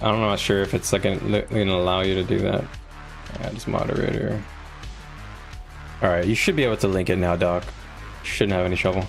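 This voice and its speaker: A man's voice: deep voice, steady tone, fairly quick, gravelly, pauses often. A man talking casually to viewers on a live gaming stream, explaining things in an informal, conversational way. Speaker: game livestreamer. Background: music.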